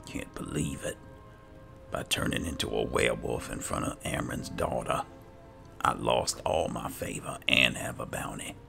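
A man casually narrating roleplay gameplay in character, muttering in a low-key way and trailing off. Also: measured pace, gravelly, pushed and bright, soft background music. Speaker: gamer playing in character.

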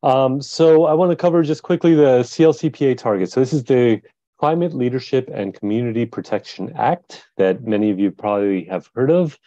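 A man presenting slides on a panel, talking in a measured way with many pauses and fillers. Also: medium pitch, warm tone, no room sound, lo-fi sound. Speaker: panelist, climate team leader.

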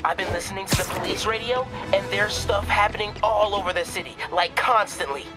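A man's voice: high voice, a little fast, natural voice. An actor playing an earnest, eager teenage boy talking with his hero friend in a video game. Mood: earnest, uncertain. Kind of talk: video game phone conversation. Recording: prominent music behind.

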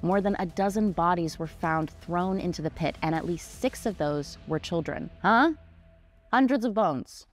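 A woman's voice: fairly low pitch, normal speed. A woman in her twenties hosting a history show, giving scripted narration in a conversational, dramatic way with casual asides. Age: 20s. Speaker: host of a history mystery show. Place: small indoor studio set. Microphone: desk microphone, close. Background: music.

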